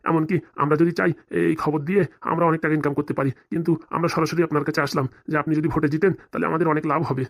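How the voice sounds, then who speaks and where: A man's voice: a little high, fairly even intonation, very fast, natural voice. A man voicing a greedy, scheming village policeman in a comic cartoon, with conspiratorial, rustic, colloquial speech. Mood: wheedling, secretive.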